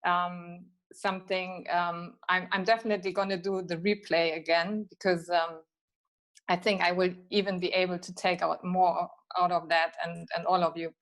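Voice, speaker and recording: A woman with a lowish voice and a flat, even delivery talks on a video call. She often hesitates and searches for words. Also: normal speed.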